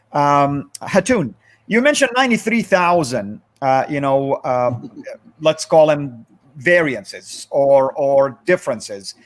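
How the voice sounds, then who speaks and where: A man hosting a live stream discussion, thanking moderators and questioning a guest in a halting way. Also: a little high. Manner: halting, with many fillers and restarts.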